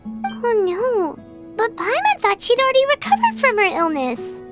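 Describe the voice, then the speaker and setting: Game sidekick: a squeaky, excitable little fairy girl, slow and bouncy delivery. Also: loud music behind the voice, exaggerated voice. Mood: worried. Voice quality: high squeaky childlike voice, refers to herself by name. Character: tiny floating female fairy companion, childlike and excitable. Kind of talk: dubbed video game cutscene.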